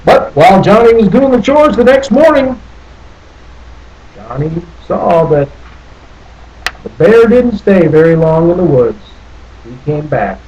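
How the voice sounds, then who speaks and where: A man's voice: high-pitched, big pitch swings, a little slow, pauses often, warm tone, muffled recording. A man reading a children's story aloud expressively, theatrically lingering over phrases. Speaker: storybook reader. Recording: noticeable background noise.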